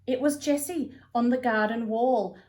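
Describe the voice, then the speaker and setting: Female storyteller, higher voice, very unhurried, expressive read-aloud for young children. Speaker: storyteller reading a children's picture book.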